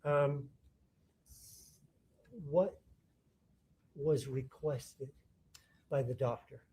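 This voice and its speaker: Man, courtroom prosecutor, warm dark tone, methodical but halting questioning. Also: mid-range voice, steady tone, breathy edge. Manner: halting, rephrases questions mid-sentence.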